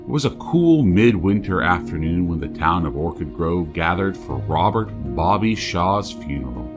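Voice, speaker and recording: A true-crime podcast host with a low, deep voice. He delivers scripted lines in a measured, deliberate manner, like a small-town investigator presenting his audio drama. Music plays prominently beneath him. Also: lively intonation. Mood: grave, measured. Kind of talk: scripted true-crime narration and sponsor read.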